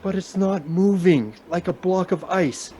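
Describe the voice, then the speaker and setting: An actor plays a stubborn, guilty husband in a radio drama, out in a snowstorm. His fairly high male voice moves slowly and haltingly, over faint background noise. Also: dark tone. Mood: wary, bewildered. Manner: halting, muttering to himself. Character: stubborn, guilt-ridden husband trudging alone through a snowstorm. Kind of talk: radio play acting.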